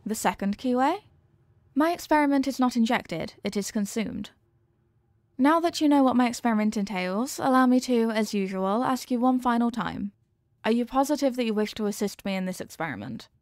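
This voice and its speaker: A woman acts out an earnest young female scientist in an audio roleplay, fond of the test subject she addresses. Her voice sits a little high, and she words everything formally and precisely, like a clinician. The sound is very dry, with no room on it. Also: moderate pace, a little mellow, no room sound, natural voice. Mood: earnest, explanatory. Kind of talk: scripted roleplay addressed to the listener.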